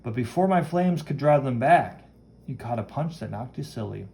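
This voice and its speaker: Man playing a brash young superhero, lively swings. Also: medium pitch, moderate pace, vocal fry. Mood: earnest. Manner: brash, dramatic, read-aloud comic narration. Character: cocky, chivalrous young male superhero who wields flame.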